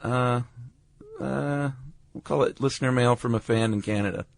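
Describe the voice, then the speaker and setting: A man's voice: medium pitch, normal speed, gravelly. An American man hosting a podcast, explaining things in a casual, laid-back and hesitant way. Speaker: podcast host. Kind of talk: casual chat.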